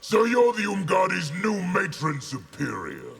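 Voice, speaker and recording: An actor playing an arrogant, menacing rebel warlord in a fighting game, with an imperious, forceful delivery. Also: male voice, high voice, wildly swooping pitch, unhurried, creaky, soft background music, full character voice. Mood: indignant, forceful. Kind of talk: video game fight intro dialogue. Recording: loud noise behind game dialogue.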